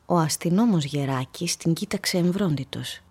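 A woman narrating a literary radio play with measured, dramatic storytelling. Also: low voice, a little mellow, breathy edge.

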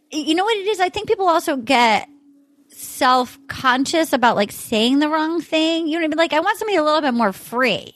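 A woman's voice: high-pitched, fairly bright tone, no room sound. A woman hosting a casual panel chat, recapping a dating show in a chatty, storytelling way. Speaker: podcast host leading a panel.

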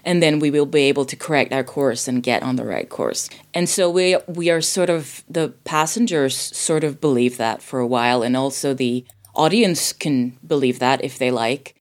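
Woman, low-pitched voice, casual film talk, hesitant, self-correcting. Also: moderate pace, few pauses.

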